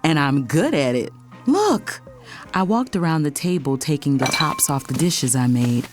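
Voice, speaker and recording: A woman acts out a playful, sassy young woman in an audio romance, telling her story in the first person. Her voice sits a little low and swings with animation. Faint music plays behind her. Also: big pitch swings, a little slow. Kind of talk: first-person audio drama narration and dialogue.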